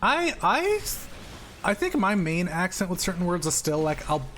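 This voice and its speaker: A man's voice: high voice, very animated, moderate pace, a bit bright, a little hiss or hum. A man streaming a game, chatting loosely with a slight stutter, restarting his sentences and laughing often. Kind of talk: casual chat while gaming.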